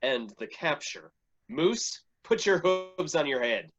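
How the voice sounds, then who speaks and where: A man playing a goofy, offhand flesh-eating cop, deadpan, in a staged play reading. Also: a little high, slow, dull audio, faint music behind, full character voice.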